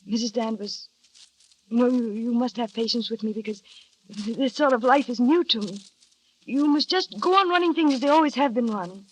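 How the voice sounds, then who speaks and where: An actress playing a timid young new wife who narrates and speaks hesitantly, with small stammers, in a 1930s radio drama. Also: female voice, a little high, normal speed, slight background noise, natural voice. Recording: dull 1930s radio broadcast with music.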